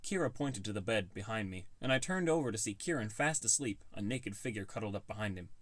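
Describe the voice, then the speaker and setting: A man's voice: fairly low pitch, expressive, fairly quick, no echo. Man narrating, dry close recording. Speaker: audiobook narrator. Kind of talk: first-person scripted narration.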